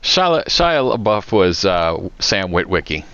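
A man's voice: low voice, very animated, slow pace, pushed and bright, clear, resonant tone. A man co-hosting a podcast, reacting in casual, incredulous chat. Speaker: podcast co-host. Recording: dry, slightly dull podcast audio.

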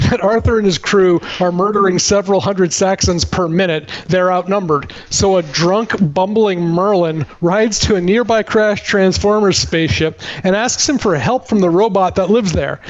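Male podcast reviewer, high register, sardonic and emphatic comic recapping, slightly muffled recording. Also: moderate pace. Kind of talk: comic movie recap, dramatic reading.

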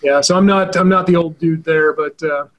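A man's voice: fairly high pitch, fairly even intonation, moderate pace, fairly bright tone. Stuffy-nosed chatty man. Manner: rambling, chatty. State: stuffy, nasal congestion. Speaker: podcast co-host. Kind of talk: casual chat.

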